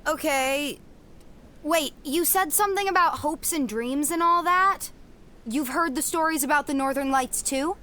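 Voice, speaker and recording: A woman's voice: sits high, unhurried, pushed and bright, a little hiss or hum. A woman playing a determined, feisty young girl with a blunt, youthful manner in a family audio drama. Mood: puzzled.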